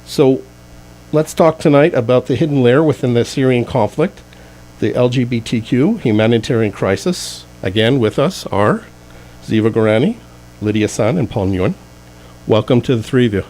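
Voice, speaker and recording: A man's voice: medium pitch, lively intonation, moderate pace, warm tone, a little hiss or hum, poor audio. A man hosting a radio talk show from a studio, reading out contact details in an announcer-like way before talking with guests.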